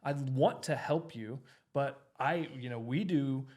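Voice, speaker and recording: A man with an American accent and a medium-pitched voice hosts a casual podcast. He talks conversationally but emphatically, stacking parallel phrases to drive a point home. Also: unhurried, a little mellow. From United States. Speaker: podcast host, chiropractor.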